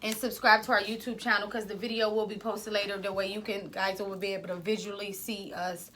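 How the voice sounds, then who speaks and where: Woman, casual podcast host, loud and rambling, bright tense tone. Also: mid-range voice, flat delivery, brisk, a little hiss or hum, low-quality recording. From Ohio, US.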